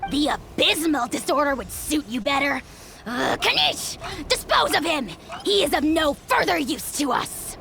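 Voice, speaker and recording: Casting: a pompous little dragon lord, shrill and boastful, game dub. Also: female voice, big pitch swings, measured pace, gravelly, faint music behind, exaggerated voice. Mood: commanding. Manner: pompous, shouting grand titles, calls itself we. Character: tiny boastful dragon lord, arrogant and theatrical.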